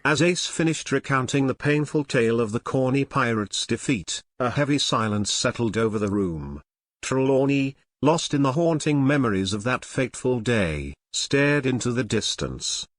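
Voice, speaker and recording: A man's voice: mid-range voice, lively intonation, normal speed, no room sound. Male audio drama narrator, dry sound.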